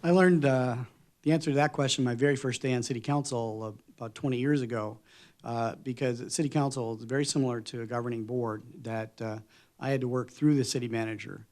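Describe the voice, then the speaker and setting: Measured man with many fillers. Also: medium pitch, fairly even intonation, darkish tone. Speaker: board candidate. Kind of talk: candidate forum answers.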